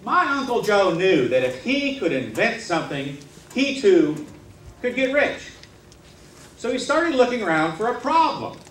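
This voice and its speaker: A man telling a comic tall tale on stage in a theatrical, mock-serious way with dramatic pauses. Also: high voice, very animated, fairly bright tone. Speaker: humorous contest speaker. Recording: roomy hall, distant mic, faint noise.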